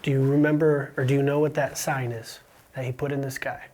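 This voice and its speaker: A man's voice: medium pitch, little pitch movement, brisk, darkish tone. A man with an American accent hosts a school podcast, asking quiz questions in an upbeat, encouraging way. He slips in small jokes as he goes. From Romania. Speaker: podcast host. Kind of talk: casual interview with a student.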